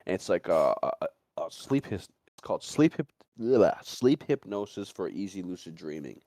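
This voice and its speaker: Low-voiced man rambling casually. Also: big pitch swings, moderate pace, warm tone, dry recording, clean studio sound. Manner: informal, rambling, mild swearing. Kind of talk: casual chat.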